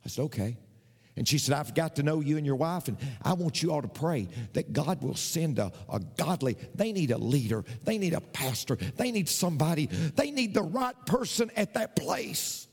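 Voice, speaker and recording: Male pastor, lively high voice, long hall reverb, storytelling preacher. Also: normal speed, breathy edge. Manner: animated, builds to shouted preaching. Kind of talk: sermon storytelling.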